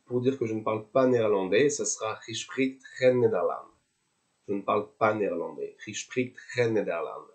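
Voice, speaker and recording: A young French man with a French accent, teaching basic phrases by saying each one slowly and repeating it. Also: on the low side, darkish tone. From France. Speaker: language tutor. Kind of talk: slow, patient language lesson.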